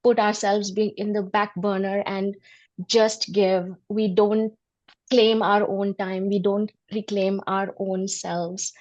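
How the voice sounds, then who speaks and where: A middle-aged woman from Delhi talks as a guest coach on an interview show, earnest and reflective, often breaking into a laugh. Her voice sits at an ordinary pitch. The audio is slightly dull and lo-fi. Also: level tone, slow, darkish tone. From Delhi, India. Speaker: guest, women empowerment coach. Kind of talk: interview conversation.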